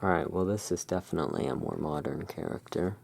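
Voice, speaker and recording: An ASMR host in a gothic shopkeeper roleplay, describing pictures in a hushed, unhurried, musing way. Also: sits low, creaky, breathy edge. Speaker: host of a roleplay as a curiosity emporium owner. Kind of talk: ASMR roleplay, describing tarot card art.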